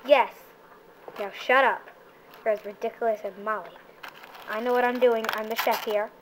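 A woman's voice: a little high, steady tone, moderate pace. A woman hosting a playful homemade cooking show in a kitchen, bossy and offhand, with lots of hesitant fillers, recorded on a handheld camera. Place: home kitchen. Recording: noisy home camera recording.

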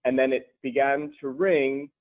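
A man's voice: mid-range voice, fairly even intonation, a little slow. Man on a tinny phone-like line. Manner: wry, self-deprecating humor. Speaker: high school language teacher. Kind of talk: casual panel discussion. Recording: tinny phone-like video call audio.

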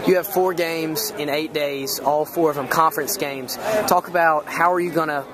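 A young man hosting postgame interviews in a basketball arena, asking eager, brisk questions. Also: on the high side, steady tone, over a noisy crowd. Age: young adult. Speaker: student sports reporter and host.

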